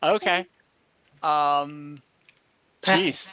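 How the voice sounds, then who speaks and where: A man's voice: a little high, steady tone, very slow, sharp tone, clean tone, no echo, muffled recording. A man acting as game master for an online tabletop game, explaining rules with hesitant restarts and fillers. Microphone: home mic on an online call.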